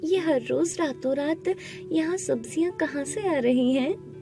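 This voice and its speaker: A woman voicing a sorrowful, meek village wife and mother in an animated storybook tale, plaintive and deferential. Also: high-pitched, measured pace, gravelly, pitched above the performer's own voice. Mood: puzzled, meek. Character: grieving poor young mother, devoted timid wife. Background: background music.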